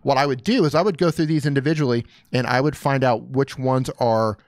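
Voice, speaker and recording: A man's voice: medium pitch, fairly quick, no echo, clean studio sound. A man hosting a live stream, giving casual, upbeat coaching advice on channels and reading viewer comments aloud. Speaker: YouTube coach reviewing channels.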